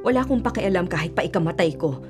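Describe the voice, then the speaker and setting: A woman's voice: lowish voice, expressive, quick pace, music in the background, close to an everyday voice. A woman acting as a hardworking, self-sacrificing middle-aged widowed mother in a Filipino radio drama, earnest and long-suffering. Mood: pleading.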